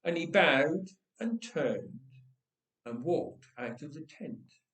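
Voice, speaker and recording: A man reading a story aloud in an expressive, theatrical and deliberate way. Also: fairly high pitch. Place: echoey church interior. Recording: echoey room, distant from mic.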